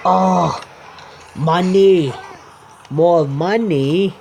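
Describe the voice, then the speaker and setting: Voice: medium pitch, extremely slow, darkish tone, faint music behind. A game streamer reading a visual novel aloud with casual asides, stumbling over words, correcting misreadings and swearing. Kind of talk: let's play narration with casual asides.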